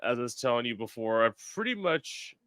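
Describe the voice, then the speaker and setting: A man's voice: medium pitch, animated, a little fast, a bit bright, no room sound, clean studio sound. A man from South Florida hosting a podcast in casual chat, talking with lots of filler words. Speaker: podcast host.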